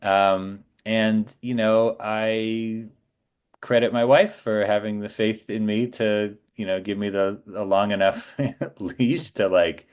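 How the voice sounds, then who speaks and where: A man of about forty talking casually as a podcast guest, halting and reflective. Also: fairly low pitch, little pitch movement, smooth. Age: around 40. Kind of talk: casual interview chat.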